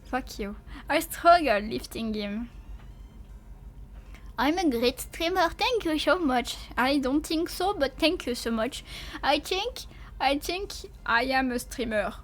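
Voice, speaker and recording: A French woman with a French accent chatting casually on a livestream, halting and repeating herself as she playfully protests to viewers. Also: high-pitched, animated. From France. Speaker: livestreamer, VTuber.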